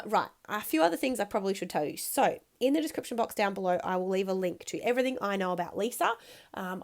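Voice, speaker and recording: A woman in her thirties with a croaky, congested voice, chatting casually in a voice-over while she scrapbooks, rambling with lots of ums. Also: medium pitch. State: croaky from a cold. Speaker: scrapbooking video host.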